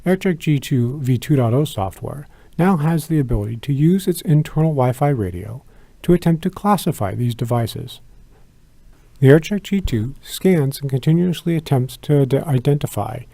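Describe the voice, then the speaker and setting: A man narrating a scripted product tutorial in a measured, methodical, instructional way. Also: mid-range voice, lively intonation, vocal fry, mellow, dry recording. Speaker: product demonstrator.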